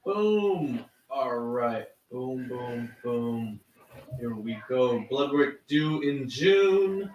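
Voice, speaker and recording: A man reading viewers' check-in stats aloud in a flat, list-like way. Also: mid-range voice, drawn-out words, muffled recording. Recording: echoey, distant from the mic.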